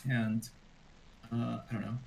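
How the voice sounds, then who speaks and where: Male panelist, mid pitch, hesitant and rambling, heard over a video call. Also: completely flat delivery, measured pace, creaky, a little mellow, a little hiss or hum, rough recording. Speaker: drag performer, panelist. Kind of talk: casual online panel chat.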